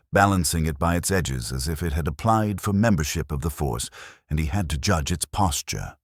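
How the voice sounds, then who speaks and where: Male solo narrator for detective drama: bass-deep, husky, dryly witty and formal, expressive intonation. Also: no room sound. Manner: measured, dry, wry, formal delivery. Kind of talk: scripted literary narration of a mystery drama.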